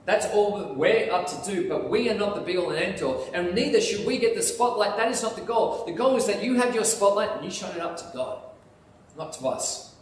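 A man delivers a sermon, animated and emphatic. His voice sits fairly high for a man and booms around a large, reverberant church hall, picked up from some distance. A faint ambient hum hangs behind him. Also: fairly quick. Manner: animated, gesturing preacher with lively emphasis. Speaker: pastor. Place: church sanctuary, in front of altar. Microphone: lapel mic, filmed by far camera. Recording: echoey church hall from far off.

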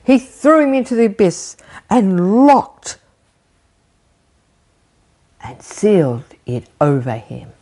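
A woman's voice: mid-range voice, takes it slowly, pauses often. An older woman preaching a sermon from an armchair at home, talking with her hands and asking her listeners questions. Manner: animated, gesturing, rhetorical questions. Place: living room with bookshelf, indoors. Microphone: fixed camera framing, seated.

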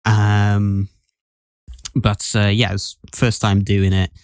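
A man in a casual podcast discussion, speaking in a hesitant, halting way as he talks about his acting. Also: on the low side, flat delivery, a little mellow, clear, resonant tone, no echo, clean studio sound. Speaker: podcast guest actor.

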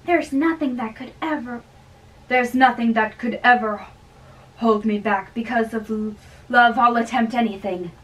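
Lovestruck young man, acted by a woman, earnest, halting, very slow delivery, amateur drama reading, distant microphone. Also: fairly high pitch, lightly acted. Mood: bold devotion. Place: small bedroom, indoors. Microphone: far camera.

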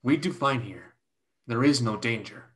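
A man playing a protective, plain-spoken young Chinese brother in a play reading over a video call, speaking in measured, formal phrases. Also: mid-range voice, fairly bright tone, close to an everyday voice. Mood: guarded, proud. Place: home room on video call.

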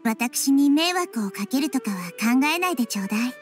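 High, gentle, wise little girl. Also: animated, normal speed. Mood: apologetic, caring. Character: small girl deity, wise, gentle and thoughtful. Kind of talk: anime game voice acting. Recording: faint music under voice.

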